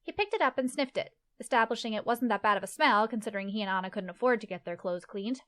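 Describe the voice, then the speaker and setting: Woman narrator for a fantasy short story, slightly high register, reading scripted prose. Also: fast talker, fairly bright tone.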